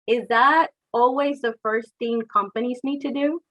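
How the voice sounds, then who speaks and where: A woman's voice: on the high side, moderate pace, fairly bright tone, low-quality recording. A woman hosting a webinar, reading introductions and asking her guest questions in a friendly, scripted way. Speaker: webinar host.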